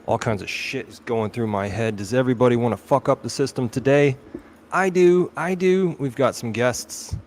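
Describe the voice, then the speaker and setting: A man's voice: medium pitch, fairly even intonation, fairly quick, few pauses, a little hiss or hum. A man hosting a livestream in casual chat, informal and encouraging with his guests. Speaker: livestream host.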